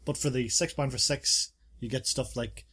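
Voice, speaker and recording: A man's voice: mid-range voice, steady tone, normal speed, bright, forceful tone, slightly rough. A man co-hosting a casual podcast, chatting about bars and reading reviews aloud with playful asides. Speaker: podcast co-host.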